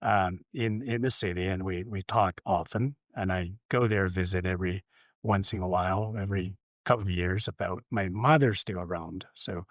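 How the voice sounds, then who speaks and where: A man's voice: low voice, fairly even intonation, no echo, muffled recording. Man from China on a call. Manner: halting speech with fillers and repeated words. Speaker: guest, entrepreneur. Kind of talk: casual interview answers. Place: home office.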